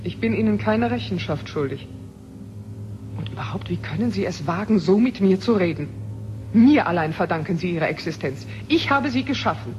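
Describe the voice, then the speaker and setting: An actress playing a principled, proud woman scientist, speaking in a measured, formal way in a radio drama. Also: fairly low pitch, expressive, darkish tone, dull audio, soft background music, lightly acted. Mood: indignant. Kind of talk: 1970 German radio drama acting.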